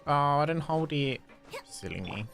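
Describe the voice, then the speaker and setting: Male livestreamer, gravelly mid-pitched voice, casual game commentary, faint music behind. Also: animated, unhurried, a bit bright.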